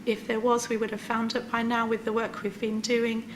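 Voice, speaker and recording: A woman's voice: a little high, monotone, normal speed, background noise. Woman presenting a report, careful. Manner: careful, hesitant with fillers. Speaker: clinical lead presenting a report. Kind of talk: formal meeting presentation.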